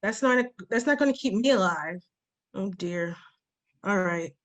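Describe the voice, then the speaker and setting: A woman's voice: mid-range voice, expressive, moderate pace, lightly acted. Woman playing a fretful, panicky orc sidekick. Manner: fretful, hesitant. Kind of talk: fantasy comedy table read.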